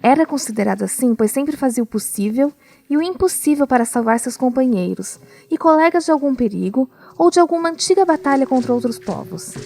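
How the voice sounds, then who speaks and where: Woman storyteller, voice a bit high, measured audio drama narration, soft music bed behind. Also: gravelly.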